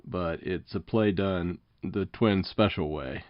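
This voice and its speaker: Guy podcast host, lowish voice, setting up interview questions, dry slightly muffled lo-fi audio. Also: takes it slowly, no room sound. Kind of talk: prepared interview questions and summaries. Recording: slightly dull, lo-fi podcast sound.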